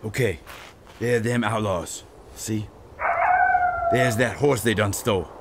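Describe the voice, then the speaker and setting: A man's voice: lowish voice, measured pace, heavy background noise. Man playing a cocky, drawling Texas sheriff. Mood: stern. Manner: folksy, domineering, swearing. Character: gruff, cocky Texas sheriff in the Old West. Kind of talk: western audio drama acting.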